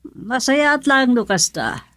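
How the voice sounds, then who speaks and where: An actress playing a caring mother in a radio drama, gently questioning her son. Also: high-pitched, sing-song, a little slow, dry recording, heavily acted. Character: caring middle-aged mother, curious.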